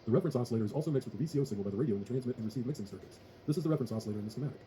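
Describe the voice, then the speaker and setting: A man's voice: medium pitch, rapid-fire, mellow, a little hiss or hum. A man narrating a scripted technical tutorial in a formal, methodical way. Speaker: tutorial presenter.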